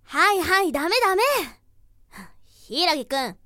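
A woman playing an exasperated, bossy young drama club member who scolds briskly in a voice drama. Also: very high voice, animated, bright, forceful tone, slight background noise, heavily acted. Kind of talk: Japanese voice drama acting.

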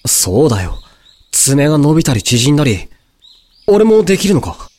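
A man acting a rough-spoken, kind-hearted young male companion in a Japanese audio drama, with a husky voice and blunt, casual delivery. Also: a little high, very animated, moderate pace, a little mellow, no room sound. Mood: curious.

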